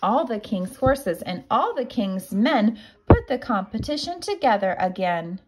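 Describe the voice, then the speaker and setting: A woman teacher reading a children's picture book aloud in an expressive, deliberate storytelling manner. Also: mid-range voice, poor audio.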